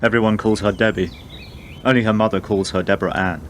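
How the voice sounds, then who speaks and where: A man's voice: lowish voice, steady tone, fast, a little hiss or hum, lightly acted. A man in a radio play acting a worried, prim middle-aged father, an accountant, giving subdued, clipped answers.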